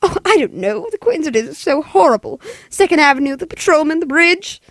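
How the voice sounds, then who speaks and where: A woman's voice: high-pitched, expressive, brisk, no room sound. A woman playing an anxious, demanding bedridden wife in 1940s radio play style, with fretful, stammering, melodramatic delivery. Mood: agitated, pleading.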